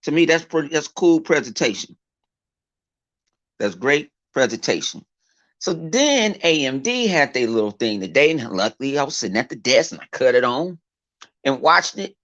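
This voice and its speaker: A man with a big, booming voice talks off the cuff to his viewers, circling his points with fillers, restarts and plenty of swearing. The sound is dull and lo-fi, missing its top end. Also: big pitch swings, normal speed, a bit bright. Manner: rambling, profane, full of fillers and repeats. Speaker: gaming YouTuber. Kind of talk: casual off-the-cuff vlog rant. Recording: dull lo-fi recording.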